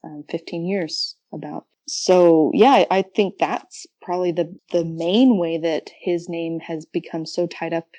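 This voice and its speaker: A woman's voice: lowish voice, fairly even intonation, unhurried, hardly any pauses, mellow, no room sound. A woman speaking as a podcast guest and researcher, explaining things casually and thinking aloud in an informal way.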